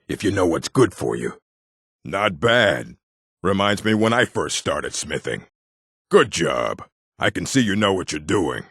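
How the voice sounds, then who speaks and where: An actor voicing a gruff, proud male orc warrior in a fantasy video game, with a gravelly, husky voice and blunt, terse delivery. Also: low-pitched, fairly bright tone, no echo, clean studio sound, exaggerated voice. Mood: menacing, then gruff approval. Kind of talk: video game character voice lines.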